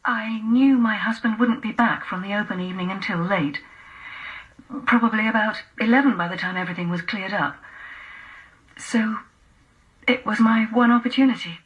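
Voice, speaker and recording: A nervous married woman, voiced by an actress in a radio drama, speaking haltingly as she confesses. Her voice sits at an ordinary middle pitch. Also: highs cut off, lightly acted.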